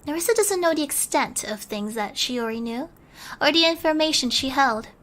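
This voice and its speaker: A woman streamer reading a bedtime story in a soft ASMR style, at times slipping into a whisper. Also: high voice, expressive, normal speed. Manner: soft-spoken, sometimes whispering.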